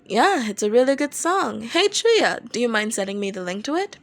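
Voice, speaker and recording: A woman's voice: a little high, very animated, normal speed, pitched above the performer's own voice. A woman playing a brash, nosy young male bandmate, blunt and casual, in an audio story.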